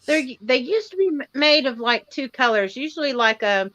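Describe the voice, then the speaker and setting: A woman's voice: high-pitched, lively intonation, moderate pace, a bit bright, no room sound, dull audio. A woman in her forties with a Tennessee accent, chatting casually and in a folksy way as a livestream co-host. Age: 40s. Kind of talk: casual chat.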